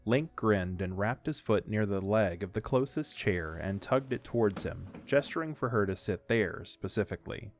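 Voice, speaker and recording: Low-voiced male audio drama narrator. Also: animated, moderate pace, few pauses, soft background music.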